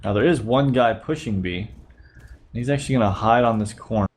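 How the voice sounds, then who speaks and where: A man's voice: lowish voice, a little fast, slight background noise. A sick man with a slightly nasal voice, casually narrating gameplay footage as a channel host. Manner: relaxed play-by-play narration. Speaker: YouTube host reviewing gameplay.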